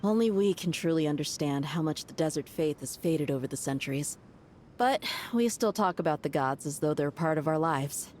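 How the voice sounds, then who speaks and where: An actress playing a tough, good-humoured desert mercenary in a video game, talking in a casual, wry way. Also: female voice, low voice, expressive, normal speed, a little mellow, a little hiss or hum.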